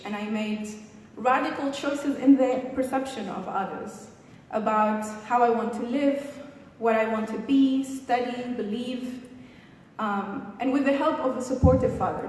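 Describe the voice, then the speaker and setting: A young woman with a Tunisian accent delivers a motivational keynote in a fairly high voice. She addresses the crowd directly and rhetorically. The sound rings through a reverberant hall with some background noise. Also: darkish tone. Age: young adult. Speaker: keynote speaker at a youth summit. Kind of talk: motivational public speech. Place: large indoor hall at a summit.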